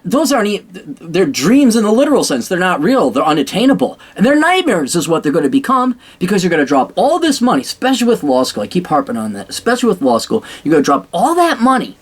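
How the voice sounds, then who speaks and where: Blunt, sarcastic man with a lively pitch. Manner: blunt, sarcastic, swears freely; rambling with frequent restarts. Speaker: vlogger giving blunt career advice. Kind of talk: casual monologue to camera.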